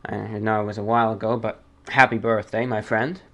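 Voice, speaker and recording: A man hosting a listener-mail show, reading letters and commenting casually in a halting, deliberating way, often repeating his phrases. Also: lowish voice, flat delivery, bright, forceful tone.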